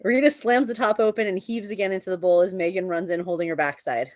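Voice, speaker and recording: A woman's voice: medium pitch, fast talker, no room sound, lo-fi sound. A woman reading screenplay stage directions as the narrator of a table read.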